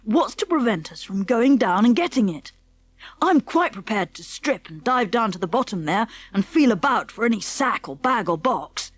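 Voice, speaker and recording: Plucky English schoolboy adventurer played by a woman, confident and hearty, high voice, dry close sound, children's storybook reading. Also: sing-song, no room sound. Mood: determined. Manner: brisk, hearty, old-fashioned British schoolboy diction. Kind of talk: children's audiobook character acting.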